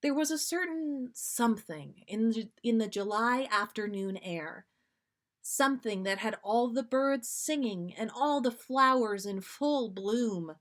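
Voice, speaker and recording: A woman podcast host reading fan fiction aloud on a livestream in an amused, expressive storytelling way. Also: fairly high pitch, unhurried.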